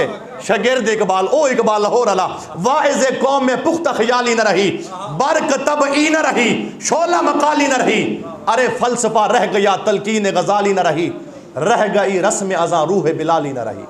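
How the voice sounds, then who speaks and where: A male preacher with a sore throat, giving an impassioned sermon that breaks into recited verse. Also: sits high. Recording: echoey hall.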